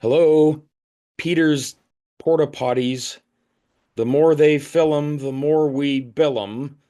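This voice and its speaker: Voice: medium pitch, steady tone, takes it slowly, warm tone, lightly acted. An actor in an audio play voicing an anxious, exasperated hotel guest who sputters and hesitates wryly.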